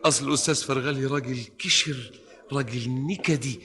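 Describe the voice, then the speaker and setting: An Egyptian actor playing a fussy, over-polite and nosy government clerk in an old radio comedy, effusive, repetitive and comically precise. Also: male voice, medium pitch, fairly even intonation, fast, pushed and bright, lightly acted. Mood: anxious complaining.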